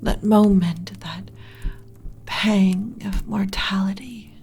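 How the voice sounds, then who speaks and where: A woman's voice: medium pitch, steady tone, slow, darkish tone. A woman playing an ancient, yearning undead lich queen in an intimate, whispery ASMR romance roleplay, speaking close to the mic with solemn, formal drama. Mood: longing, confessional. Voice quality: breathy, whispery. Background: music.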